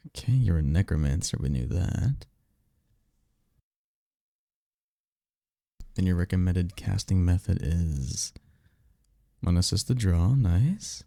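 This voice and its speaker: A man acts out a cocky vampire mentor for a roleplay audio, speaking in a deep, gravelly voice right up in a dry recording. He is easygoing and teasing, with slangy, conversational phrasing and the offhand confidence of a former archmage. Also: expressive, measured pace, dark tone, no echo, full character voice. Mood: casual, upbeat.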